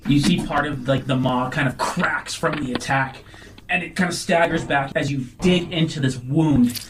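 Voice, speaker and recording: A man's voice: fairly high pitch, moderate pace, noisy background. A man narrating a tabletop game as game master, descriptive and feeling his way through phrases.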